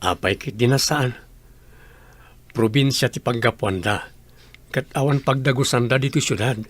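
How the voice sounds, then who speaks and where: A man's voice: mid-range voice, normal speed, husky, natural voice. A man playing a humble, earnest young working man in a radio drama. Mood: friendly.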